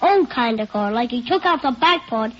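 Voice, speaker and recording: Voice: mid-range voice, lively intonation, moderate pace, a bit bright, highs cut off, close to an everyday voice. A performer playing an eager, imaginative six-year-old boy witness in a vintage radio police drama, speaking in earnest, simple childlike phrases. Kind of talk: 1950s radio drama acting.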